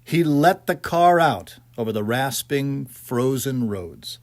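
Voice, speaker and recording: Expressive, unhurried male storyteller with swooping pitch. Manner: theatrical, measured storytelling. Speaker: audiobook narrator. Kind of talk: scripted dramatic narration of a ghost story.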